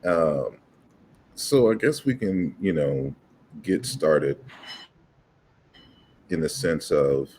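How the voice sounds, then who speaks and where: A man's voice: extremely low pitch, lively intonation, very slow, long pauses, darkish tone, slight background noise. A man hosting an online interview, talking hesitantly with many "you know"s and repeated words. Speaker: host.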